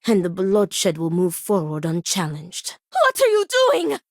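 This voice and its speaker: An actress voicing a grave, principled and devout woman in a video game, speaking in formal, measured, stern phrases. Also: fairly low pitch, wildly swooping pitch, no room sound, clean studio sound, full character voice. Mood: alarmed, shouting. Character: grave, principled woman acting as duel second, devout, weary. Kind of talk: video game character dialogue.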